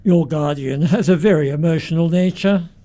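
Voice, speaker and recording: Fussy scholarly clergyman man acting, soft mellow tone, lightish pitch, pedantic, radio play. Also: normal speed, a little hiss or hum, natural voice. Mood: mild concern. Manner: pedantic, unctuous, formal. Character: pompous, mild, scholarly country clergyman. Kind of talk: stage comedy radio acting.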